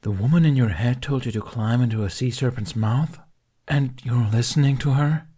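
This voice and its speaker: A man voicing a fierce, protective dragon in an audiobook story, forceful and exasperated with worry. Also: medium pitch, moderate pace, husky, voice pushed up, lightly acted. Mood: incredulous. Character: fierce, anxious, protective male dragon companion.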